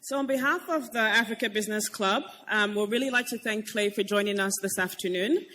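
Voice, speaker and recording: A man's voice: high voice, a little fast, bright, forceful tone, slight background noise. A man speaking for the organizers, giving polite, formal closing thanks. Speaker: event organizer.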